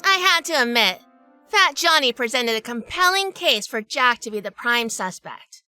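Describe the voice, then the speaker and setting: A woman's voice: on the high side, expressive, moderate pace, bright, forceful tone, soft background music. A woman playing a shrewd, sassy, hardboiled heroine narrating a detective audio drama. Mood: suspenseful. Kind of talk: first-person detective drama acting and narration.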